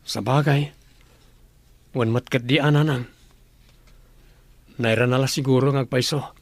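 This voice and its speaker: A man's voice: medium pitch, fairly even intonation, a little slow, no room sound. An actor playing a kind, attentive young working man in an Ilocano radio drama. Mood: thoughtful.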